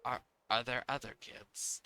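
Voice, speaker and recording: A man voicing a lonely, wistful young island boy from a video game, speaking softly and haltingly in simple phrases. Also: medium pitch, steady tone. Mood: shy, curious. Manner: soft, halting, simple speech. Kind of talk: reading video game dialogue aloud.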